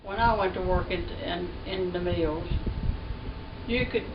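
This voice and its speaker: A woman in her seventies, a retired mill weaver, recalling her work in a slow, unhurried way. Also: lowish voice. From North Carolina. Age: 70s. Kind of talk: casual interview. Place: living room. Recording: dull roomy audio from a far camera with background noise.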